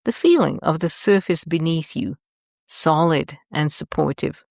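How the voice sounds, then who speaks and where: A woman host narrating a guided meditation in a gentle, soothing and deliberate manner. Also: low-pitched, expressive, vocal fry, no room sound, studio quality.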